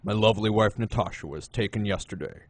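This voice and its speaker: A man playing a suave, menacing gentleman crime boss in an audio drama, unhurried and courtly in manner. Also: fairly low pitch, breathy edge, no room sound. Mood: smug, wry.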